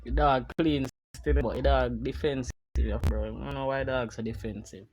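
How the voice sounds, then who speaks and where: A man's voice: mid-range voice, moderate pace, a little mellow, a little hiss or hum. A man reacting to a video, blunt and mocking, cursing freely. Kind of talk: casual reaction commentary.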